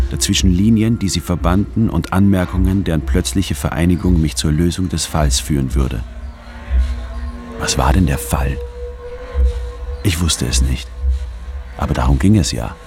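Really deep introspective guy narrating a radio play, measured, music behind him. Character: brooding male office anthropologist, introspective narrator. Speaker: radio drama narrator and lead. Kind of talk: scripted first-person radio drama narration.

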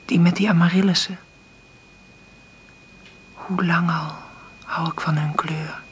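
A woman playing a middle-aged, hard-up mother in a naturalistic radio drama, understated and hesitant, with a husky, breathy voice. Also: fairly low pitch, fairly even intonation, slow, slight background noise. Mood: wistful, hushed. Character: hard-up, dreamy single mother, gentle but stubbornly hopeful.

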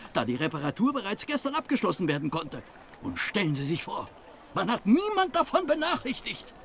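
Excitable traveller, wildly swooping pitch. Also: male voice, gravelly, slight background noise, full character voice. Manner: ingratiating, excitable, over-polite. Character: chatty, inquisitive, slyly polite fellow male traveller. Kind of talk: German audio drama acting.

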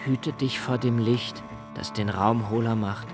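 A male actor plays a brooding young diarist in a literary radio play. He speaks very slowly, with halting, contemplative deliberation and a haunted inwardness. Music runs clearly behind his voice. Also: mid-range voice, fairly even intonation, lightly acted. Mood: haunted, grave. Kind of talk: literary radio drama monologue.

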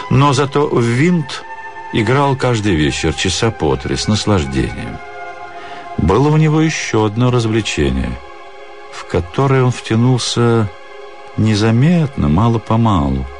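A man reads a literary story as a radio narrator, in a measured, dramatic way with deliberate pauses. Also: low voice. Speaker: actor reading a short story.

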